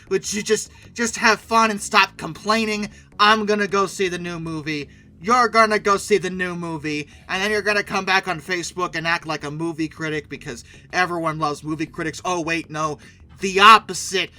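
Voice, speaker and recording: A man's voice: on the high side, sharp tone. A man giving casual video commentary in a sarcastic, opinionated, emphatic way. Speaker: YouTube commentator and host.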